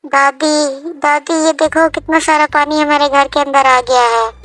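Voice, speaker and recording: A woman's voice: high-pitched, fairly even intonation, a little slow, fairly bright tone, no echo, soft background music. A woman voicing an anxious, hungry young grandson in a cartoon story, speaking plaintively.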